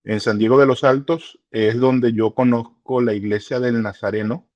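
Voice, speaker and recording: Middle-aged guy, interview guest, slow and full of ums, dry close mic. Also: mid-range voice, fairly even intonation, darkish tone, no echo. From Venezuela. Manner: unhurried, many hesitations and fillers. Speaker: church regional director, guest. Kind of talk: relaxed interview conversation.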